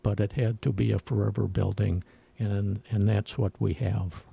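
A man giving a folksy, unhurried account as a guest on a radio talk show. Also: fairly low pitch, flat delivery, creaky, mellow, husky. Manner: slow, folksy storytelling with chuckles. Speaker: guest, museum founder. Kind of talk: casual radio call-in conversation.

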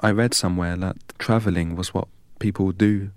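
A man from England, a guest in an interview, telling his life story in a measured, precise and earnest way. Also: deep voice, steady tone, a little mellow, clean tone, no room sound. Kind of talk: reflective personal storytelling.

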